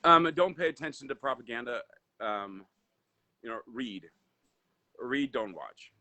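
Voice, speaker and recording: A man's voice: mid-range voice, expressive, a little slow, sharp tone. A man who grew up in Indonesia, with Indonesian as his first language, talking as a guest entrepreneur in a casual live interview over a video call. He rambles, with many fillers and restarts.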